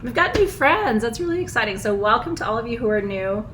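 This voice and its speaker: A middle-aged woman with a froggy, hoarse voice, hosting a live online ritual from her small house in casual, bubbly chat, laughing often. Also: a little high, animated, fast talker, fairly bright tone, slight background noise. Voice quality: froggy, husky. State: hoarse, nearly lost voice. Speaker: spiritual teacher hosting a live full moon ritual.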